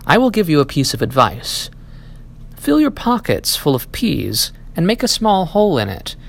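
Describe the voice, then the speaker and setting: Man reading as a stern king, animated pitch. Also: normal speed, vocal fry, exaggerated voice. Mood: scheming. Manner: authoritative, advising. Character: stern, scheming old-tale king, father of princess.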